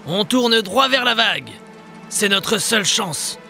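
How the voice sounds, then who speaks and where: A cursed ghost ship captain, earnest and commanding for a kids' cartoon. His voice sits high for a man and gives steady orders. Light music plays underneath. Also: a little slow, vocal fry, full character voice. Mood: urgent. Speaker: dubbing actor. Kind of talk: French cartoon dub.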